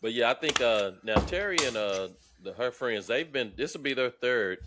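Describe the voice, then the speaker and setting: A man's voice: mid-range voice, lively intonation, normal speed, noisy background. A man about to turn fifty, co-hosting a podcast in casual, joking, profane chat. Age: 40s. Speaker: podcast co-host.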